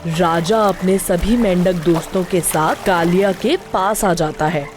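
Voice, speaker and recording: A woman's voice: lowish voice, a little slow. A woman narrator reading a scripted moral story for children. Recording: music behind the narration.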